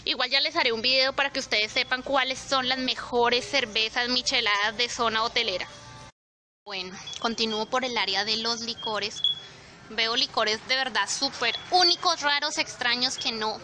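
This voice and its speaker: A woman's voice: fairly high pitch, moderate pace, music in the background, low-quality recording. A young woman, chatting to viewers as she films her walk through a supermarket. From Colombia. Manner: chatty, expressive, addresses viewers directly. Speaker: vlogger. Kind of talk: casual walk-through vlog. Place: supermarket aisles, indoors.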